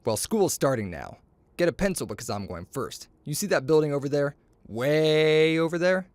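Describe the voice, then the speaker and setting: Male storyteller, lively and swooping, playful with boastful dialogue, recorded dry and close. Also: fairly high pitch, moderate pace, no room sound. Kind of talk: animated storybook reading with character dialogue.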